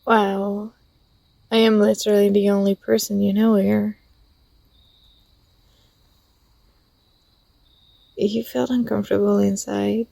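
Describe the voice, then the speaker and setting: A woman playing an affectionate, teasing young student talking to a close friend in a soft, intimate ASMR roleplay. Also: medium pitch, vocal fry, no echo. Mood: surprised, guilty. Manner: gentle, playful, coaxing.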